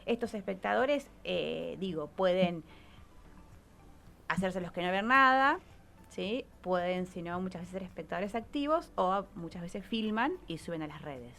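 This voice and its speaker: A woman's voice: on the low side, expressive, a little slow, a bit bright. A woman with an Argentine Spanish accent gives an explanatory radio talk as a psychologist, in a didactic way, often checking in with short questioning tags. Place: radio studio. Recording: clearly noisy radio recording.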